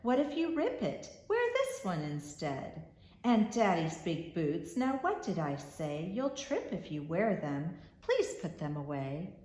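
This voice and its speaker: A woman's voice: lowish voice, wildly swooping pitch, a little slow, darkish tone, roomy sound, poor audio. A woman voicing a fussy storybook mother who firmly scolds and instructs her little daughter. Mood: scolding. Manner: chiding, instructive.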